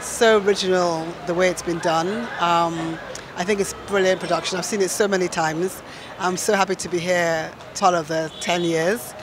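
A woman's voice: on the low side, steady tone, normal speed, a crowd in the background. A middle-aged woman giving an on-camera interview as a theatre guest at an event. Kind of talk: on-camera vox-pop interview. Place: event venue by a backdrop. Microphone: handheld reporter microphone. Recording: clear background noise at busy event.

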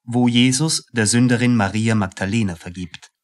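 A man's voice: mid-range voice, normal speed. A man reading scripted narration as the narrator of a radio play.

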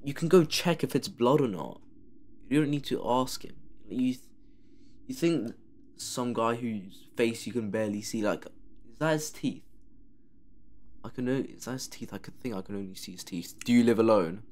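A man's voice: mid-range voice, moderate pace, darkish tone, slight background noise. A man commenting sarcastically while he plays a horror game. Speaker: gamer playing a horror game. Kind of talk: let's play commentary.

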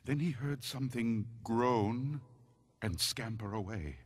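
A man narrating a scary story dramatically, in a deliberate, suspenseful manner. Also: mid-range voice, slightly rough, dry recording.

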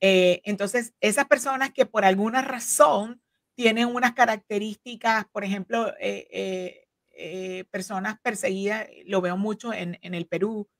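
A woman's voice: mid-range voice, steady tone, unhurried, no room sound, studio quality. A woman in her forties, a legal counsel, explaining earnestly with many fillers and restarts, recorded on a video call from a home office. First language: Spanish. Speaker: immigration legal counsel, guest. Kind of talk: explanatory interview talk. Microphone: desk microphone on video call.

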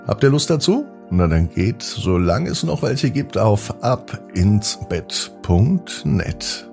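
Low-voiced man, lively bedtime storyteller. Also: vocal fry, soft background music. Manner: gentle, drawn-out emphasis, addressing young listeners. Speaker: children's podcast host and storyteller. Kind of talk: expressive bedtime story narration for children.

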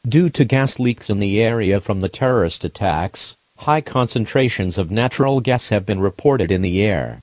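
A man's voice: on the low side, lively intonation, moderate pace, hardly any pauses, darkish tone, no room sound. A man's formal, impersonal synthetic voice reading a scripted emergency alert announcement.

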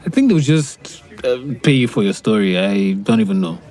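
A man's voice: lowish voice, lively intonation, normal speed, a little mellow, natural voice. An actor playing a modest, self-doubting young man in an audio drama. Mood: hesitant.

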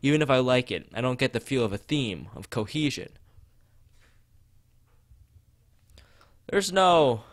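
A man's voice: mid-range voice, sing-song, fairly quick, natural voice. A man voicing a blunt, doubtful art critic in a visual novel, with a questioning manner. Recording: music behind the voice.

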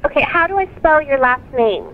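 A woman's voice: high voice, expressive, brisk. A woman telemarketer on a sales call, questioning insistently with an exasperated manner over a phone line. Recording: tinny phone line, faint noise.

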